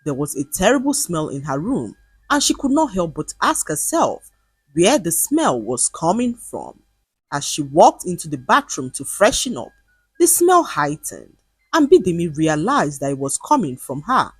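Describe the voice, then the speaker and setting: A woman narrating a scripted folktale in an expressive, dramatic storytelling manner. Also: fairly low pitch, normal speed, husky, no echo.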